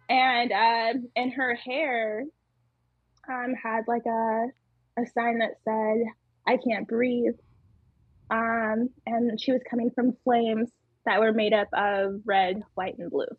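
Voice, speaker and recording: A woman with a small, tiny voice, chatting casually as an interview guest, laughing often and pausing with fillers. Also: a little high, fairly even intonation, husky, no echo, narrow, tinny audio. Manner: giggly, hesitant with many fillers. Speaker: muralist guest.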